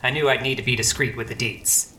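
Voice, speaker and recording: A man playing a weary, melodramatic police detective in a parody noir radio play, wordy and deadpan. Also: medium pitch, brisk, echoey room, slight background noise, lightly acted. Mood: brooding.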